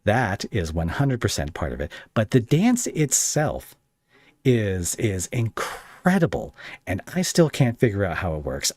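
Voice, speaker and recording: A man with a low voice and a very dry, close sound hosts a relaxed interview. He talks in a rambling, conversational way, often feeling around for the right word. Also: no room sound. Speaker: podcast host and interviewer. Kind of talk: casual podcast interview.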